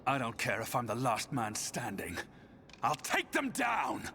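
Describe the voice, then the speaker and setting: An actor playing an earnest, burdened young soldier hero in a video game. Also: male voice, medium pitch, huge pitch swings, unhurried, gravelly, bright, forceful tone, exaggerated voice. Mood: bitter, defiant. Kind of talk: dramatic video game acting. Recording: long reverb, faint music behind.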